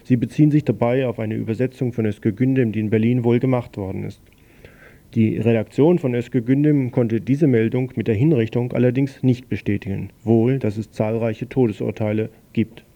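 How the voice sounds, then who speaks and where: A man presenting news on a small radio program, reading in a measured, matter-of-fact way. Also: medium pitch, gravelly, dark tone. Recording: dull lo-fi radio recording.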